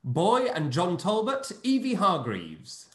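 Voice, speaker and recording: A man hosting an online play reading, briskly announcing each cast member over a video call. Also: high-pitched, echoey room. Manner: brisk, announcing names in a lively sing-song. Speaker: host and producer.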